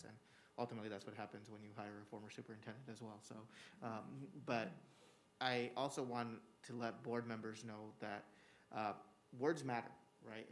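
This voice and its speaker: A man who is a school district administrator, giving a formal report to a school board in an earnest, steady way with many filler words. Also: lowish voice, slight background noise. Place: public school board meeting room.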